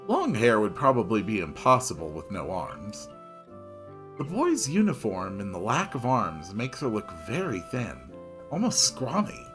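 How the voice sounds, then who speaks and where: A man reading a visual novel aloud as first-person narrator, dramatic and emphatic. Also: medium pitch. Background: music.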